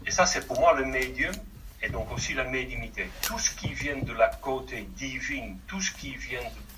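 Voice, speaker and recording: Dutch-accented man, bright, hesitant. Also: mid-range voice, distant mic, a little hiss or hum, rough recording. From Netherlands. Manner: hesitant, searching for French words with many fillers. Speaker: guest medium. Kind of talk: radio interview.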